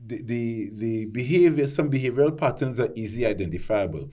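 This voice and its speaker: A man with a deep voice making an earnest point in a panel discussion, often restarting his sentences as he builds his argument. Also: expressive, a little fast, warm tone.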